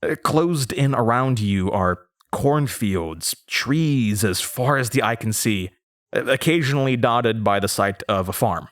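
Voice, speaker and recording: A man playing a nervous young man from a small Midwestern town, recounting his past in a halting, conversational audio drama monologue. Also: mid-range voice, lively intonation, no room sound, studio quality, close to an everyday voice. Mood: reflective. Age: young adult. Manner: hesitant, stammering, rambling. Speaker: audio drama lead character.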